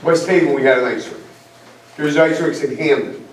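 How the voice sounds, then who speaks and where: A man's voice: fairly high pitch, moderate pace, a little hiss or hum. A man from Connecticut on a town commission, arguing insistently and repeating himself at a public meeting. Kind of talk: heated public meeting debate. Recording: echoey hall from far off.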